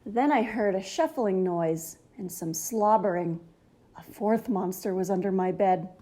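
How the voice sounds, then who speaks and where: Female children's story narrator: mellow tone, mid pitch, enthusiastic and theatrical delivery. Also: measured pace. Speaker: storybook reader, channel host.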